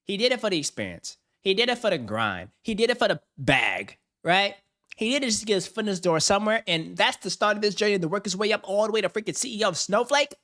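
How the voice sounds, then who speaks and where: A man's voice: high voice, big pitch swings, fast, a bit bright. A man hosting a livestream, reacting to a video with hyped, slang-heavy banter and frequent laughs. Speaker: streamer host. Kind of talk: casual livestream commentary.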